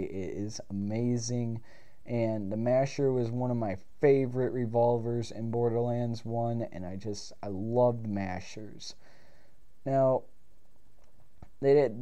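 A man's voice: medium pitch, dark tone. A young man with a stuffed-up nose from a cold, casually rambling through gameplay commentary in a small recording room, restarting sentences and swearing now and then. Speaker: gaming video host.

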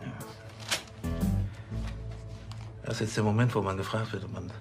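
An actor playing a pompous, self-important middle-aged police chief who hems and haltingly tags his sentences. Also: on the low side, steady tone, over music, lightly acted. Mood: officious. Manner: hemming, halting, ends phrases with "nicht?". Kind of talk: acting in TV crime comedy.

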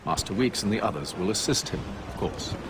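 An actor playing a commanding older male leader who gives orders deliberately. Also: on the low side, expressive, noisy background, close to an everyday voice. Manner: authoritative, deliberate.

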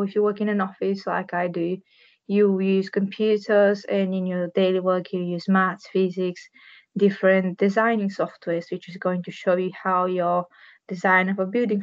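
A woman in her twenties from Bulgaria, with a Bulgarian accent, giving an informal careers talk to camera as an engineer. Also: mid-range voice. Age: 20s. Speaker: civil structural engineer, careers ambassador. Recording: dull, muffled webcam audio.

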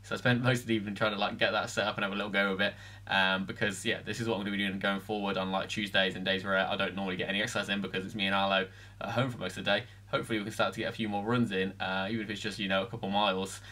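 A man in his thirties narrates his training week in a casual, filler-heavy way. His voice sits a little low but sounds bright, and he talks quickly. It is recorded at home on a desk camera mic. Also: flat delivery. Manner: chatty, matter-of-fact, filler-heavy. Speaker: vlogger. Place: home room, voice-over.

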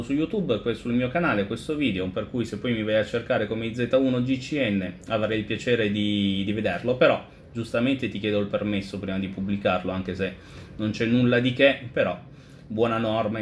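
A man's voice: medium pitch, a little hiss or hum. A man chatting over amateur radio in a courteous, methodical way with hesitant phrasing. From Italy. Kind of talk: amateur radio contact, conversational. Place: indoor home radio station.

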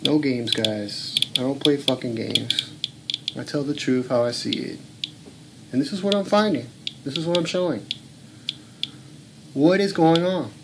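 A man's voice: medium pitch, measured pace, vocal fry, pauses often, background noise. A man filming at home, talking in a blunt, earnest way and swearing now and then. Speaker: home video blogger. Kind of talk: casual filmed commentary. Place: home room.

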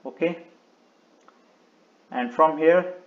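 Guy doing a how-to website walkthrough, bit high pitched, choppy short phrases, kinda muffled audio. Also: extremely slow. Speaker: video tutorial presenter. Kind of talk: step-by-step website walkthrough, unscripted screen narration.

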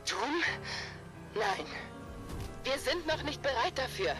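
A woman's voice: high voice, very animated, slow, exaggerated voice. A woman voicing a resolute but nervous young heroine in a German-dubbed video game, speaking urgently and earnestly. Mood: tense. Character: young heroine, resolute leader fighting her nerves. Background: game music.

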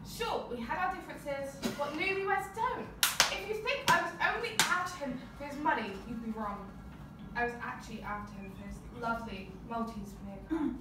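A woman's voice: sits high, animated, a little fast, very noisy. A young woman playing a brazen, defensive gold-digging widow in a murder mystery party game. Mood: indignant. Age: young adult. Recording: echoey room, distant camera, chattering group.

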